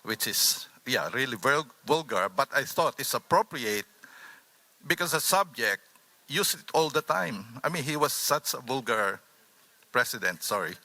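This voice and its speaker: A man with a Filipino accent speaking informally as a panelist, in a halting, anecdotal way. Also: medium pitch, expressive, bright, forceful tone, no room sound, rough recording. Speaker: author on a discussion panel.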